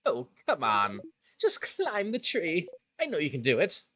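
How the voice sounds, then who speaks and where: A streamer voicing a playful, teasing star-like creature from a video game, theatrical and cheery, with sing-song, drawn-out words. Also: mid-range voice, huge pitch swings, a bit bright, no room sound, studio quality.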